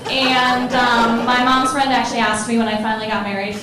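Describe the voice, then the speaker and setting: A woman's voice: a little high, flat delivery, a little fast, a crowd in the background. Chatty stand-up woman in her forties. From Ohio, USA. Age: 40s. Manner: chatty, self-deprecating, many ums. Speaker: stand-up comedian. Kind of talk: stand-up comedy set. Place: comedy club stage, large room. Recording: roomy live club recording with noise.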